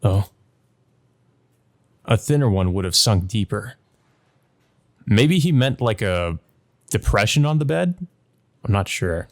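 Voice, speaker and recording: Low-voiced man reading a visual novel aloud. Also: expressive, slow, long pauses. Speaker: let's play host.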